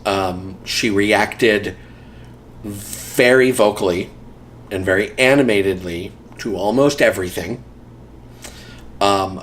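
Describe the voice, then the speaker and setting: A man's voice: sits low, fairly bright tone, a little hiss or hum. A man in a casual interview, reminiscing about community theater, rambling with frequent ums and dry humor.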